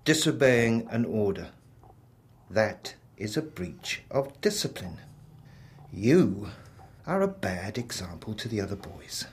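An actor playing a stern housemaster of a boys' institution in a radio drama, clipped, commanding and threatening. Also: male voice, mid-range voice, a little hiss or hum. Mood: cold reprimand.

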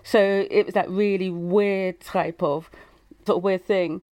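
A woman's voice: medium pitch, fairly even intonation, measured pace, a little mellow. A woman from England with an English accent, an author thoughtfully reflecting in an interview. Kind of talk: interview storytelling.

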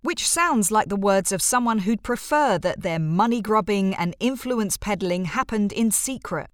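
A woman narrates a written opinion column at a middling pitch. The sound is very dry, with no room around her scripted reading. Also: animated, normal speed, no echo.

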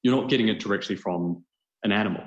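A New Zealand man, a journalist guest, explains things conversationally and with enthusiasm. His voice is fairly low, and the recording is echoey and roomy. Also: quick pace, highs cut off. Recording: roomy, echoing sound.

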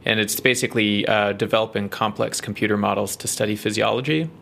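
A man with a New Zealand accent, a research scientist explaining his work in an interview, measured and full of ums and uhs. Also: lowish voice, fairly even intonation, gravelly, fairly bright tone, slight background noise.

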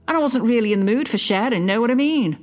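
A woman playing a possessive middle-aged mother in a confiding dramatic monologue, smug, mock-innocent and quietly malicious. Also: fairly high pitch, animated, quick pace, faint music behind, natural voice. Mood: indignant, possessive. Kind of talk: dramatic monologue, confiding fiction podcast narration.

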